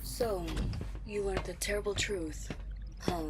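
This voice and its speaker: A woman playing a chatty, curious alien scientist in a sci-fi audio drama, talking in a breathy, fascinated gush. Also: mid-range voice, fairly even intonation, slow, close to an everyday voice. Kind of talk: science fiction audio roleplay acting. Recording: clear background ambience noise.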